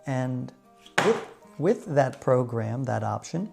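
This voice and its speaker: A middle-aged man with an American accent tells his personal story to viewers in a measured, reflective way with deliberate pauses. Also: medium pitch, big pitch swings, gravelly, music in the background. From United States. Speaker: vlogger.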